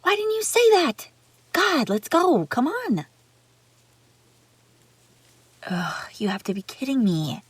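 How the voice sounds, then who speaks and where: A woman's voice: sits high, huge pitch swings, unhurried, no room sound, full character voice. A woman playing a haughty, bratty young runaway princess in a roleplay audio drama, sarcastic and bickering with the listener. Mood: panicked, exasperated.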